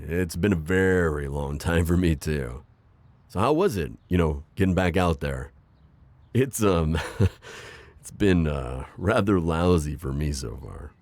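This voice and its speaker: A man voicing a gentle, self-deprecating divorced construction-worker dad in an ASMR roleplay, hesitant and stammering with soft jokes. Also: sits low, animated, measured pace, dry recording. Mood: shy, flirty. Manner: hesitant, full of ums and stammers, joking softly. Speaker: voice actor.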